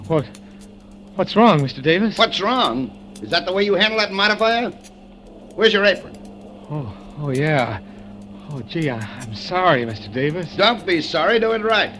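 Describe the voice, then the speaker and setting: An actor playing a gruff, impatient male shift foreman who barks orders in a vintage radio drama. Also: high-pitched, big pitch swings, moderate pace, a bit bright, slight background noise. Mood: scolding. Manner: barking orders.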